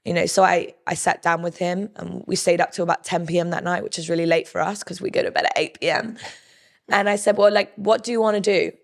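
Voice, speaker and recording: A woman in her thirties with a croaky, husky voice, telling her story candidly as a podcast guest. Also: on the low side, steady tone, fast talker. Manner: candid, storytelling, lists things off. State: hoarse, croaky. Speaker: podcast guest, business co-owner. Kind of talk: casual interview chat.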